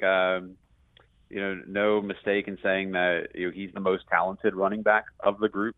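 A man giving conversational sports analysis as a radio play-by-play guest, in a halting way with self-corrections. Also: sits low, dry recording, narrow, tinny audio.

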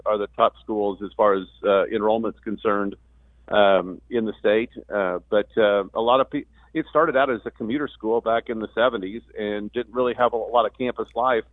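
A man's middle-pitched voice comes through a dry, phone-like connection. He is a sportscaster being interviewed on sports talk radio, explaining things with halts and repeated words. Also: dry recording. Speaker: radio play-by-play announcer as guest. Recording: thin phone-like line.

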